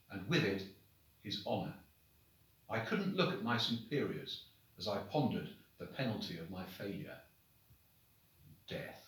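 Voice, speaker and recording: A man, a headmaster, reading short stories aloud to children in an expressive, deliberate, dramatic storybook manner. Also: mid-range voice. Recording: very echoey room, distant microphone.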